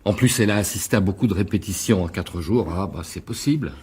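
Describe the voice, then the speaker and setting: An actor playing a wily, self-important stage actor in a French radio drama, with hesitant, theatrical delivery. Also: male voice, low-pitched, fast talker, a little mellow, slight background noise, lightly acted. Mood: calculating.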